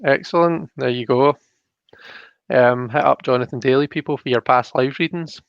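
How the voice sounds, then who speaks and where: A man's voice: medium pitch, normal speed, gravelly, smooth, dry recording, dull audio. A Scottish man hosting a casual live stream, informal and thinking aloud as he talks viewers through a reading. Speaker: live stream host, tarot reader.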